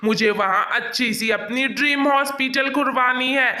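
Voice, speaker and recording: Ambitious male doctor, acted by a man: very high, piercingly bright, insistent cartoon delivery. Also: normal speed, full character voice. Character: ambitious, impatient male doctor, dismissive of ghosts. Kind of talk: cartoon story dialogue. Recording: roomy echo.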